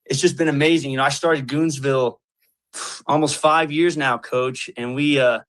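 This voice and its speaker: A man in his twenties from Colorado, speaking earnestly in casual conversation with halting restarts and many fillers. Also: medium pitch, fairly even intonation, fairly bright tone. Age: 20s. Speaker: guest, community founder.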